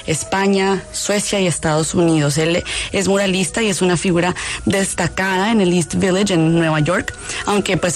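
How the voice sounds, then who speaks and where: A woman reporting a news story as a correspondent, with some hesitation and fillers. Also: fairly low pitch.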